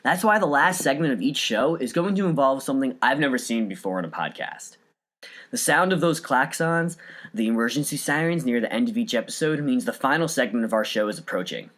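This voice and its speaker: A man's voice: medium pitch, expressive, a little fast, a bit bright. A man hosting a podcast, reading scripted narration in an earnest, carefully enunciated way. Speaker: podcast host.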